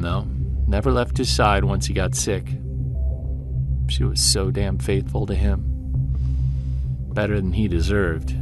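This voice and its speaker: An actor as a low-voiced brooding monster hunter. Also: male voice. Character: brooding male Bigfoot investigator, bitter and obsessive. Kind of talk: audio drama monologue and dialogue, confessional recorded journal.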